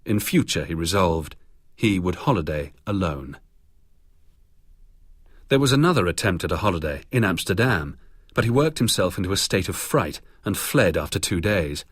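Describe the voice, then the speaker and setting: A man's voice: low-pitched, expressive, moderate pace, slightly rough, no echo, studio quality. A British man narrating a scripted biography for radio.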